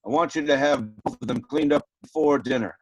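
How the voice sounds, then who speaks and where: Man acting a gruff, brusque farmer uncle. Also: medium pitch, brisk, no room sound, dull audio, lightly acted. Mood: bossy. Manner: brusque, commanding. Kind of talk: virtual script table read.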